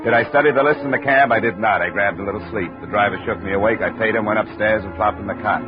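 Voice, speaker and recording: An actor in a 1950s radio drama playing a wry, hard-boiled American insurance investigator in clipped, dry, world-weary speech. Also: male voice, on the low side, fast talker, dull audio, music in the background, close to an everyday voice. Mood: wry, sombre narration. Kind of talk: 1950s radio detective drama with first-person narration. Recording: band-limited old radio recording.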